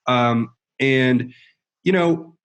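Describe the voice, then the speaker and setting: A man's voice: medium pitch, very slow, a bit bright. A man hosting an interview, asking long, hesitant questions. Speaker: host. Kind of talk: interview conversation.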